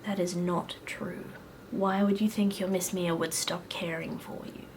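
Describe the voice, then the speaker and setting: A woman reading the lines of a gentle, caring male doctor in a soft, low, soothing tone. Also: fairly even intonation, a little slow, very noisy.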